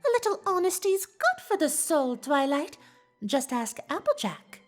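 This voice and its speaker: A woman's voice: sits high, sing-song, a little slow, faint music behind. A woman voicing an elegant, teasing female pony in a dramatized story reading, with an affected, refined delivery. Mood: teasing, assured.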